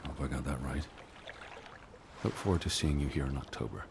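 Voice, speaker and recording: Earnest, low-voiced 1920s traveler man. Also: vocal fry, noisy background. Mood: reading aloud. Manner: measured, formal, dry. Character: earnest, cautious male American traveler of the 1920s. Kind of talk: video game voice acting.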